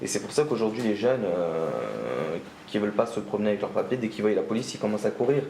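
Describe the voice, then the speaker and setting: A man giving an interview, calmly explaining. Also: fairly low pitch, fast talker, gravelly, slight background noise. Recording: roomy with music behind.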